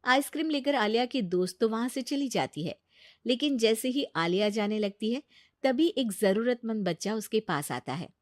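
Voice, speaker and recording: Female storyteller, higher-pitched voice, scripted dramatic narration, dry close sound. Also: lively intonation, moderate pace, no room sound.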